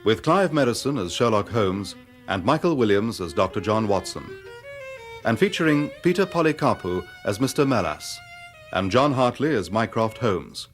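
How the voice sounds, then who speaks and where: A man's voice: on the low side, very animated, moderate pace, faint music behind. A man reading scripted credits as a radio drama announcer.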